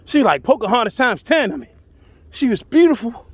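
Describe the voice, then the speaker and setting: Male storyteller, notably high voice, dry close sound, colloquial and dramatic delivery. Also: sing-song, fairly quick, husky, no room sound. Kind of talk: animated first-person tall-tale storytelling.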